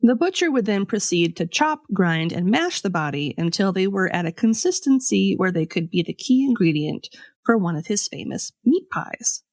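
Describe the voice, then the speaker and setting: A woman podcast host telling a scripted story in a conversational way, with pauses for dramatic effect. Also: mid-range voice, big pitch swings, darkish tone, dry recording, clean studio sound. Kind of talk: scripted storytelling with casual asides.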